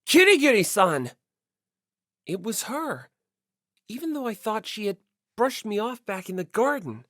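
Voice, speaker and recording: A woman's voice: mid-range voice, sing-song, normal speed, no echo, clean studio sound. A woman narrating a scripted first-person story expressively as an audiobook reader.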